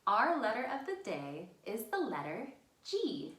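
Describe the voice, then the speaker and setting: A woman's voice: on the high side, huge pitch swings, a little slow, fairly bright tone. A woman hosting an interactive storytime for young children, encouraging them and giving simple instructions. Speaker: children's library storytime host. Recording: echoey room sound.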